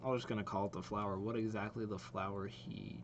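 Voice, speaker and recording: A man's voice: fairly low pitch, little pitch movement, quick pace, background noise. A young man hosting a reaction video, musing aloud in casual, informal commentary and often repeating his exclamations.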